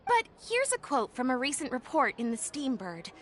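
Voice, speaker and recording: A woman voicing an enthusiastic young female tech inventor in a video game, with an upbeat pitchman's patter. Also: huge pitch swings, moderate pace, fairly bright tone, slight background noise, full character voice. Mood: explaining. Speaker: game character.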